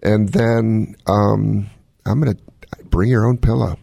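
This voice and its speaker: A man's voice: on the low side, steady tone, slow pace, gravelly, warm tone, no room sound, clean studio sound. A man hosting a radio talk show, giving casual, folksy health advice with plenty of joking. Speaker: medical expert radio host.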